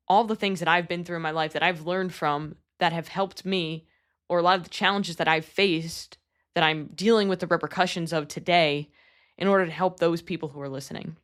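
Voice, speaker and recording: A woman's voice: lowish voice, steady tone, a little fast, fairly bright tone. Earnest, candid woman of about thirty. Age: 30s. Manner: earnest and candid, with casual swearing. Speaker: podcast host. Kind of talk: personal podcast monologue.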